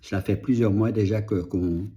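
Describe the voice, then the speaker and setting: Man, Dutch native speaker, low voice, mellow tone, reflective, halting. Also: fairly even intonation, highs cut off. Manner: slow, reflective, halting with restarts. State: moved, emotional. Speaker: vlogger and author. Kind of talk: personal video update.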